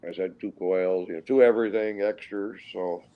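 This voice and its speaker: Low-voiced older Minnesota man, halting. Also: fairly even intonation, dull audio. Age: 60s. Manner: rambling, halting, with frequent restarts. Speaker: guest pilot. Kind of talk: casual storytelling interview.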